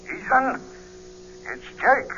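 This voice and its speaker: An actor playing a grave family doctor in a vintage radio drama, speaking haltingly and somberly through a telephone filter. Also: male voice, extremely high pitch, big pitch swings, bright, forceful tone, faint music behind, full character voice. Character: grave family doctor breaking bad news by phone. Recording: tinny, muffled phone effect, faint noise.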